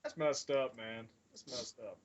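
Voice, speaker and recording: A man with a husky voice throwing casual banter and interjections into a podcast chat. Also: mid-range voice, fairly even intonation, a little slow, lo-fi sound.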